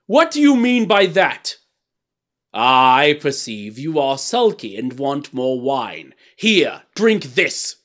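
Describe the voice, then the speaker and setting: A man's voice: expressive, takes it slowly, few pauses, fairly bright tone. A man voicing a tyrannical, mocking king in a storybook reading, roaring out impatient commands. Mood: impatient, furious. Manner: roaring, booming commands and forced laughter. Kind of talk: theatrical storybook character acting.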